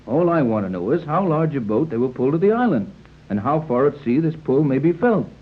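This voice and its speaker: An actor playing a shrewd, plain-spoken American captain in a 1930s radio adventure, blunt and matter-of-fact. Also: male voice, mid-range voice, big pitch swings, fairly quick. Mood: blunt, determined. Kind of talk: 1930s radio serial acting. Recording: muffled, noisy old 1930s radio recording.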